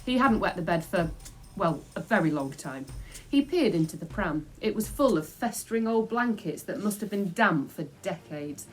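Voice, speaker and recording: A woman reading a children's book aloud in an expressive storytelling style. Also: on the low side, normal speed, faint music behind, rough recording. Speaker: storybook reader.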